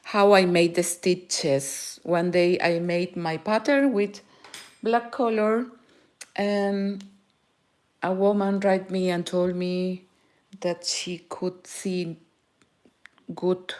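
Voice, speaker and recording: Woman, Peruvian Spanish accent, native Spanish speaker, crochet teacher, live tutorial, slow unhurried pace, halting speech with word-searching pauses. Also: fairly low pitch, fairly even intonation, a little mellow. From Peru. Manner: halting, searching for words, frequent "eh" fillers.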